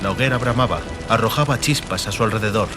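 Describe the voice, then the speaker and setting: A man narrating a fantasy audiobook dramatically, in measured, emphatic phrases. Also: on the low side. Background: music and atmospheric sound effects.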